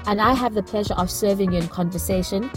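A woman hosting a solo wellness podcast in an earnest, reflective way. Her voice is deeper than usual because she has just woken up, and she stretches words out for emphasis. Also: quick pace, over music. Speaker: podcast host.

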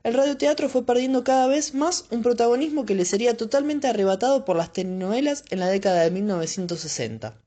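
Casting: Argentine female narrator, mellow, scripted and measured. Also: on the high side, animated. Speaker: podcast host and narrator.

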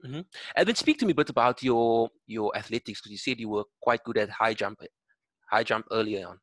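A man hosting a podcast interview, asking questions in a measured, encouraging way. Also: lowish voice, fairly bright tone, dry recording, low-quality recording. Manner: measured, encouraging, uses um fillers. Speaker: podcast host.

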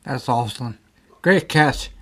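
A man's voice: medium pitch, measured pace, sharp tone. A man in his fifties, hosting a podcast interview in an easygoing way and laughing often. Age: 50s. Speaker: podcast host. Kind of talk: casual interview.

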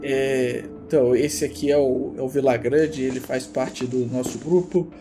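A man's voice: mid-range voice, fairly even intonation, normal speed, over music, lightly acted. A man playing an easygoing working man in a tabletop roleplay, hesitant and colloquial as he describes his actions. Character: laid-back, plain-spoken working man, easygoing sidekick. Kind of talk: improvised tabletop roleplay, narrating own actions.

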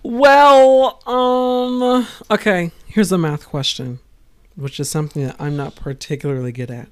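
A woman hosting a casual podcast, her voice raspy and tired, talking in a rambling, halting way with frequent pauses. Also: lowish voice. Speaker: podcast host.

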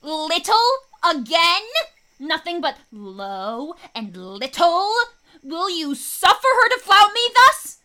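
A woman's voice: very high voice, big pitch swings, slow pace, bright, forceful tone, heavily acted. A woman acting a short, fiery, jealous young lover, indignant and declamatory, in a Shakespeare radio play. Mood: wounded outrage.

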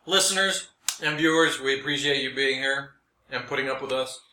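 A man's voice: medium pitch, normal speed, pushed and bright, echoey room, slight background noise, low-quality recording. An American man co-hosting a casual comedy podcast with dry, self-deprecating humor. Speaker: podcast co-host.

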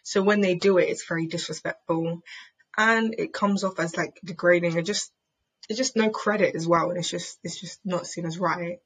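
A young woman performing a spoken word piece in a measured, rhythmic way, then chatting on a podcast. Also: on the low side, steady tone, breathy edge, rough recording. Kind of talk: spoken word reading, then casual discussion.

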